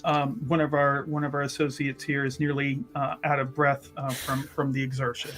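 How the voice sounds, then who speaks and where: A man voicing a polite cult schemer who tries to stay calm and placating in a fantasy roleplaying game. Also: fairly high pitch, normal speed. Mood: reassuring. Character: smooth, calm male cult schemer keeping his cool.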